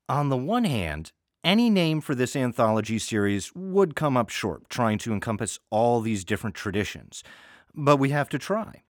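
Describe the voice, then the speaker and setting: A man's voice: fairly low pitch, no room sound, studio quality. An American man introducing an audio story series as host, in a wry, conversational scripted style.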